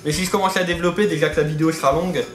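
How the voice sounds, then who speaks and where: A man's voice: on the high side, steady tone, very fast. A man with a slightly lisping, unusual articulation, chatting in a talkative, rambling way as host of a casual hobby video. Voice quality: atypical articulation, slight lisp-like speech impediment. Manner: talkative, rambling, repeats himself. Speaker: YouTube host of a reptile hobby channel. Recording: roomy echo, faint music behind.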